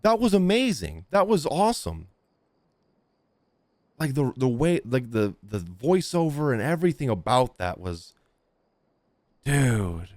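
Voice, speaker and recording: Animated man reacting to gameplay. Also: mid-range voice, a little slow, long pauses, no room sound, clean studio sound. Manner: animated, exclamatory, slangy. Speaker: streamer. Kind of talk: casual live gameplay reaction.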